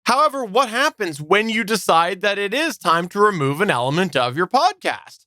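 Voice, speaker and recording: A man's voice: high voice, big pitch swings, normal speed, bright, forceful tone, no echo, studio quality. A man hosting a podcast, presenting to listeners in an organized way. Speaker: podcast host.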